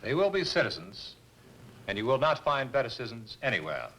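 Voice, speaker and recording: A man's voice: medium pitch, sing-song, a little fast, fairly bright tone, low-quality recording. A man, an army colonel, answering questions in a formal, assured manner in a scripted interview.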